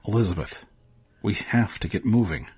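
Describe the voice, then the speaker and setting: A man playing a gruff, dryly taken-aback male traveler in an audio drama, with a raspy voice. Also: on the low side, normal speed, darkish tone, soft background music. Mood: commanding.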